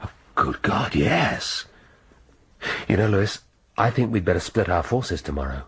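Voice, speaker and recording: An actor playing a gruff, sardonic police inspector, blunt and dry in his questioning. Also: low-pitched, big pitch swings, a little slow, full character voice. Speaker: police detective. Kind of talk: radio drama acting.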